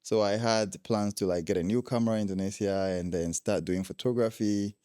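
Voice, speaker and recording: A man's voice: fairly low pitch, fairly even intonation, a little fast, warm tone, clear, resonant tone, dry recording, clean studio sound. A young man from Ghana, a guest telling his travel stories in a casual interview, laughing often.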